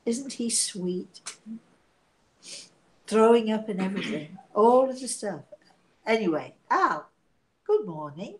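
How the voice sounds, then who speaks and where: A woman in her sixties, hoarse and coughing from illness, chatting and laughing on a live stream from her living room, talking into a tablet camera. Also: medium pitch, sing-song, slow pace, long pauses, rough recording. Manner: chatty, laughing, self-mocking. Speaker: psychic medium hosting a live show. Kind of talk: casual live-stream chat. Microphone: device camera at arm's length.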